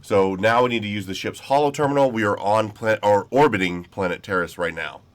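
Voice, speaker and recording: A man's voice: on the low side, fairly quick. A man hosting casual gameplay commentary in an easygoing, rambling way, sounding a little nasal from being sick. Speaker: gaming channel host.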